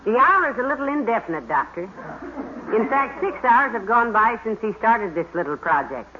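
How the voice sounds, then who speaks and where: An actress playing a wry, patient housewife in a 1950s radio comedy, trading dry, teasing quips. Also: female voice, on the high side, very animated, normal speed. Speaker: sitcom wife. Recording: hissy lo-fi 1950s radio broadcast.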